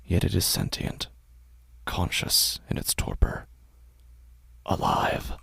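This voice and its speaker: A man narrating a first-person horror story in a measured, tense way. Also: sits low, big pitch swings, creaky, a bit bright, breathy edge, no room sound, in a whisper, studio quality.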